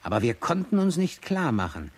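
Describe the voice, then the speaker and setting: A man's voice: a little high, sing-song, brisk. A man playing a sober witness giving an account in a radio drama. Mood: sober, puzzled.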